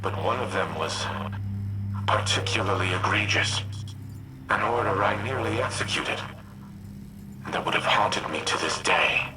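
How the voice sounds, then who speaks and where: A man playing a grave, formal artificial intelligence built for war, speaking measured, remorseful lines in a video game. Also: lowish voice, heavy background noise. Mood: brooding, remorseful. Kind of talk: scripted video game dialogue.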